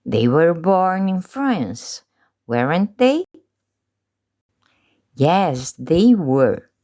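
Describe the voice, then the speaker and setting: Very slow, lively woman teaching English. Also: lowish voice. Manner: slow, deliberate pronunciation drills with pauses. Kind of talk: slow drilled language lesson.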